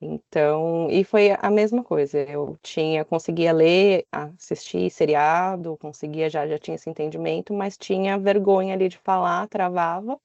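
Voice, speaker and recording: A woman's voice: on the low side, steady tone, moderate pace, vocal fry, few pauses, darkish tone, no room sound, dull audio. A woman in her thirties with a Brazilian Portuguese accent, talking casually about her own experiences as a podcast guest. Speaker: podcast guest living abroad. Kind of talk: casual conversational storytelling.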